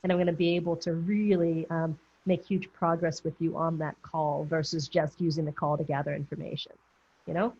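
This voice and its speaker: A woman's voice: sits low, level tone, fairly quick, dark tone, slightly rough. A woman mentor giving chatty, encouraging coaching feedback on a video call, often correcting herself mid-thought. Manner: chatty, encouraging, thinks aloud with self-corrections. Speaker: business mentor running a group coaching call. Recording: dull, muffled video call audio.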